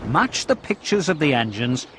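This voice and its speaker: A man narrating a children's train story and game in a clear storytelling manner, addressing young children. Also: on the high side, big pitch swings, normal speed, a little hiss or hum, rough recording. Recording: loud music behind the voice. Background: music.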